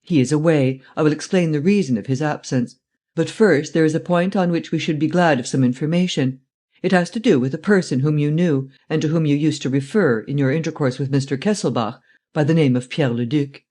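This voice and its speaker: A man voicing a formal, authoritative police chief in an audiobook, polite, measured and insistent. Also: on the high side, darkish tone, lightly acted. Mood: composed, insistent. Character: composed, formal, authoritative middle-aged French police chief. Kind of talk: audiobook character voice.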